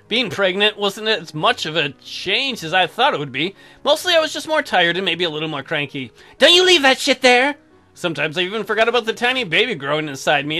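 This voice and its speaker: Male let's player, profane and sarcastic. Bright, lively delivery over game music. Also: high voice. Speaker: gaming video host. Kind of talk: casual let's play commentary, reading game text aloud. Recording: music behind the voice.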